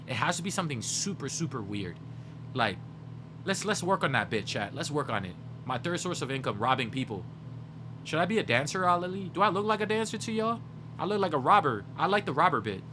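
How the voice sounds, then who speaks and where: A young man livestreaming, chatting casually with his viewers and rambling as he brainstorms jokes out loud. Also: on the high side, noisy background. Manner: rambling, brainstorming jokes aloud, talking to chat. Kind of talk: casual chat with live viewers.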